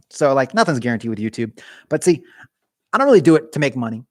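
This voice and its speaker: A man's voice: mid-range voice, sing-song, brisk, dry recording. Rambling male streamer, dry garage sound. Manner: rambling, joking asides to viewers. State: losing his voice. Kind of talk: casual live-stream chat.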